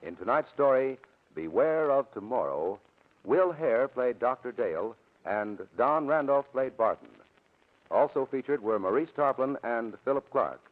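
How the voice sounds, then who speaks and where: A man's voice: mid-range voice, animated, a little slow. A male announcer reads scripted credits in a formal, polished 1940s radio manner. His mid-range voice comes through a tinny, very narrow old broadcast recording.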